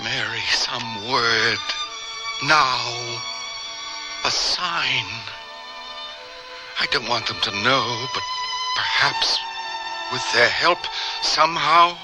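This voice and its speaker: An actor playing a secretive, exhausted middle-aged scientist in a radio drama, speaking in a halting, grave and intense way. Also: male voice, mid-range voice, over music, poor audio. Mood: pleading, desperate. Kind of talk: old-time radio drama acting.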